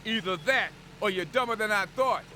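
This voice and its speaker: A man's voice: lively intonation, normal speed, bright, forceful tone, heavily acted. A man playing a brash, streetwise young Marine in a war game, slangy and teasing. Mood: cocky banter. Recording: vehicle rumble and outdoor ambience.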